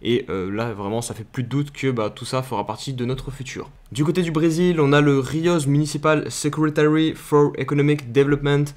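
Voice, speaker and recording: A man's voice: a little high, fairly even intonation, fairly quick, few pauses, darkish tone. A young man chatting casually through crypto news over a screen recording, loose and full of fillers and restarts. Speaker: crypto YouTuber.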